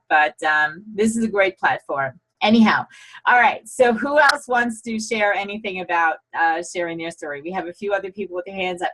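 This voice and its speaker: A woman's voice: mid-range voice, a little hiss or hum, rough recording. Encouraging woman hosting an online show. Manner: warm, encouraging, affirming. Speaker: host. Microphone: computer video call.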